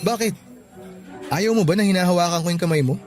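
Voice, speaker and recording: An actor playing a devoted, tender young Filipino boyfriend in a Filipino radio drama. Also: male voice, high-pitched, fast, darkish tone, faint music behind, lightly acted. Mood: hurt, pleading. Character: Elias, devoted young boyfriend, affectionate and pleading.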